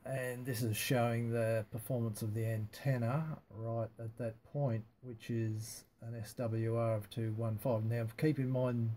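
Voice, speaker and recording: Flat-toned, mellow man giving a hands-on demo. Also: medium pitch, slight background noise. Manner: halting, unscripted, matter-of-fact. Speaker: amateur radio hobbyist demonstrating antenna tuning. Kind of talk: casual hands-on demonstration, narrated live.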